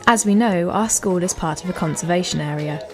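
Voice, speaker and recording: A woman's voice: lowish voice, a little fast. A woman reading scripted, educational narration as the guide of an audio walk.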